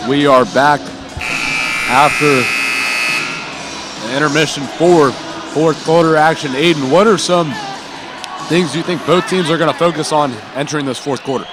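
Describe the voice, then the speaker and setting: A man's voice: on the high side, moderate pace, long pauses, music in the background, low-quality recording. A man calling live basketball play-by-play in a radio-style broadcast. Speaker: play-by-play announcer. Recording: loud gym crowd and court noise behind. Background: cheering crowd and bouncing basketball.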